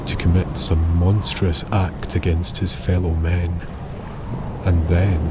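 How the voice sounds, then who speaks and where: A man narrating an eerie scripted tale in a solemn, measured, dramatic way with pauses. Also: low-pitched, heavy background noise. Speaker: storyteller, narrator. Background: music.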